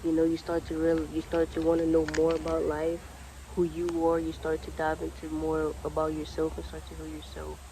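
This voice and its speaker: A man's voice: a little high, completely flat delivery, fairly quick, background noise. A man in his twenties, talking casually and earnestly outdoors by the water about spirituality, laid-back and repetitive. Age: 20s. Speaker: vlogger. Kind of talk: casual spiritual motivational talk.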